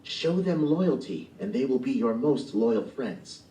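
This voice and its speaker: A man voicing a gentle, mysterious old woman who gives solemn advice in a storybook play, recorded in a roomy space. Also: mid-range voice, animated, measured pace, pitched above the performer's own voice, natural voice. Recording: roomy, from far off the mic.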